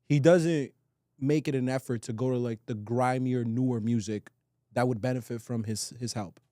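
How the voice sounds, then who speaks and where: Man, mid-pitched voice, podcast co-host, casual opinionated talk, slangy, emphatic, sweary, dry close sound. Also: steady tone, normal speed, warm tone, no echo.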